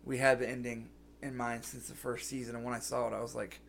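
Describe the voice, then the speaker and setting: A man's voice: medium pitch, flat delivery, fast, fairly bright tone. A man co-hosting a podcast in casual chat, his voice scratchy from being sick, rambling with lots of "like" and restarts. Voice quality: gravelly. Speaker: podcast co-host.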